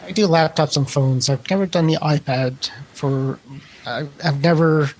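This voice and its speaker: A man co-hosting a podcast in casual tech chat, with a halting, wry way of talking. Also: a little high, a little mellow, a little hiss or hum, rough recording. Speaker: podcast co-host.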